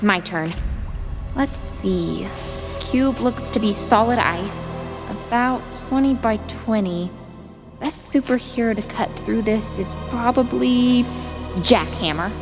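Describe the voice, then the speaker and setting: A woman's voice: fairly high pitch, slow pace, big-room sound, over music. An actress playing a plucky, eager young female superhero in an audio drama. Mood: eager, focused. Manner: eager, bold.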